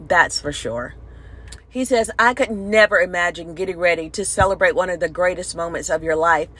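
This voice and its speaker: Woman sports host, kinda low voice, casual opinionated commentary, pretty emphatic. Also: fairly bright tone.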